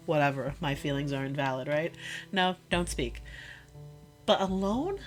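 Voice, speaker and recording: A woman performs a wounded, exasperated young girlfriend having it out with her boyfriend in an audio roleplay. Her voice sits on the low side for a woman. She speaks in halting, emphatic bursts, repeating points to drive them home, over light guitar music. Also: close to an everyday voice. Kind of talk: intimate audio roleplay acting, one-sided conversation.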